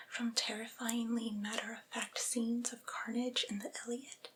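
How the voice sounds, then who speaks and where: A woman reading aloud in an ASMR style in a breathy, unvoiced whisper, phrasing each clause deliberately and carefully. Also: a little high, a bit bright, poor audio. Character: devoted servile female vampire's familiar soothing master.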